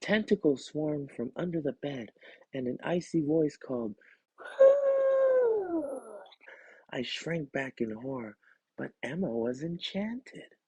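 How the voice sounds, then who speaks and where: A man reading a children's story aloud in an expressive storytelling style. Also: medium pitch, a little slow, breathy edge, no room sound, poor audio. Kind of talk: expressive storybook narration, first person.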